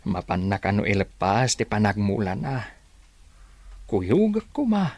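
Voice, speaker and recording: A man playing an ailing, gentle old village father in a radio drama, weary and tender. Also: fairly low pitch, sing-song, a little slow, exaggerated voice. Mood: worried.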